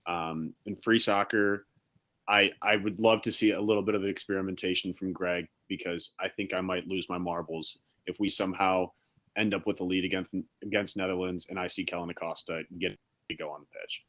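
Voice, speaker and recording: A man's voice: low-pitched, fairly even intonation, a little fast, fairly bright tone. A young man co-hosting a casual sports chat, blunt and opinionated, swearing now and then. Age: young adult. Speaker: podcast co-host.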